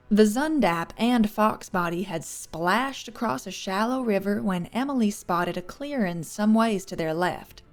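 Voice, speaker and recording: A woman reading scripted third-person fiction as an audiobook narrator. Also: mid-range voice.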